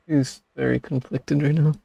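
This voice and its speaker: A man's voice: a little high, gravelly, dark tone. A man giving casual reaction commentary over a game in brief asides, close on a streaming mic.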